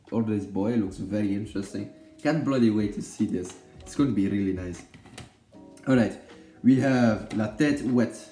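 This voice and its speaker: A man's voice: on the low side, dark tone, reverberant. A young Belgian man whose first language is Dutch, chatting casually about films with lots of fillers, restarts and jokes. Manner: rambling, many fillers and self-corrections, joking. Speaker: film vlogger.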